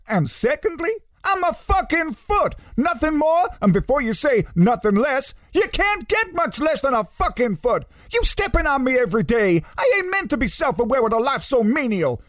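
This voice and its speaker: A high-pitched, indignant talking foot. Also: male voice, fairly bright tone, dry recording, studio quality, heavily acted. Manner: ranting, brash American street slang. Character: foul-mouthed, bitter, indignant talking foot. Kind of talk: comedy radio play acting.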